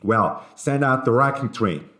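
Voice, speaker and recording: A man's voice: mid-range voice, animated, measured pace, pushed and bright, reverberant. A man voicing a flustered railroad superintendent in an audiobook, gasping, exclaiming and giving orders. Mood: urgent, commanding.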